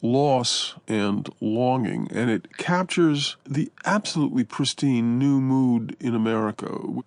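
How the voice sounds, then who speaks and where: Man, literary commentator, fry-laden voice, reflective, deliberate halting phrasing, dry studio-like sound. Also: medium pitch, dry recording.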